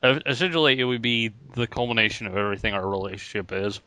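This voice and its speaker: A man's voice: on the low side, quick pace, pushed and bright, clean tone, no echo. A man co-hosting a podcast in casual joking banter, laughing often. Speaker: podcast co-host. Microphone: podcast mic.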